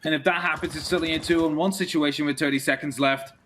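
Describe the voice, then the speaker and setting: A man's voice: a little high, fairly even intonation, fast talker, fairly bright tone, a little hiss or hum. A man who is a non-native English speaker, giving live esports play-by-play and casual commentary. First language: not English.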